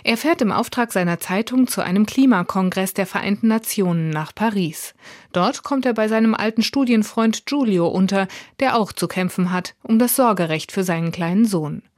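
A woman's voice: medium pitch, moderate pace, dry recording, clean studio sound. A woman reading a scripted radio book review as a critic.

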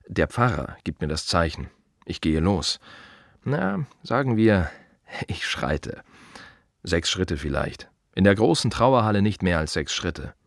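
An earnest gravedigger in a radio play monologue. His voice is low and moves expressively through short, measured phrases. He sounds proud and reflective, recorded close and dry. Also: dry recording. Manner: measured, deliberate, short clipped phrases with pauses.

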